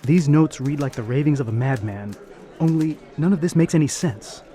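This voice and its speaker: An actor playing a brisk, puzzled male police detective sergeant in a radio drama, with an emphatic delivery. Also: a little high, dark tone, natural voice. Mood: puzzled, businesslike.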